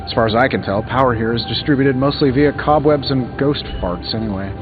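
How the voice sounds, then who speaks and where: An actor voicing a witty, cocky armored superhero inventor in a video game, glib and sarcastic. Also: male voice, mid-range voice, a little fast, natural voice. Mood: breezy, joking. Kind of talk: video game dialogue acting. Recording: slightly dull game audio under music.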